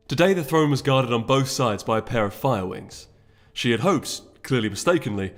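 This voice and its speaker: A man reads a fantasy story as its narrator. His voice sits at a middling pitch, with music playing behind the scripted narration. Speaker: audiobook narrator.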